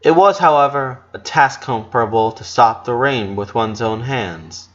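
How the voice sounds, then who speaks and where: A man's voice: mid-range voice, lively intonation, normal speed, a bit bright, lo-fi sound. A man reading fiction aloud as a dramatic narration, occasionally stumbling over a word. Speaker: fan fiction reader.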